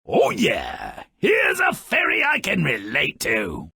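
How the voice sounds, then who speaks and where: A malicious little dwarf-like grouch from a fairy tale video game. His voice is raspy and fairly high for a man, with a sharp, forceful edge. He mocks everyone in slow, theatrical, sneering storytelling, full of impatient scorn. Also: huge pitch swings, no room sound, clean studio sound, exaggerated voice. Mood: gleeful disdain. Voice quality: raspy, gravelly. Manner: theatrical, sneering, mocking, ornate vocabulary. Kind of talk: video game character narrating dark fairy tales.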